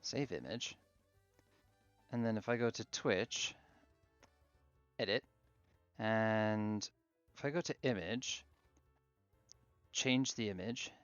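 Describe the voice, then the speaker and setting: A low, gravelly man chats casually while streaming a game from his laptop. The sound is dry and a bit dull, typical of a home stream. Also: takes it slowly, long pauses, no echo, faint music behind. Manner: relaxed, chatty, fiddly with stream setup. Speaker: streamer host.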